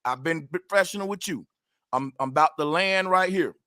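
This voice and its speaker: A man with a high, bright, sharp voice, arguing hard and refusing to give up the floor. The sound is dry and close, with no room around it. He presses each point and swears freely. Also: moderate pace, dry recording. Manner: insistent, talks over others, swears. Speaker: debater. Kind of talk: heated debate.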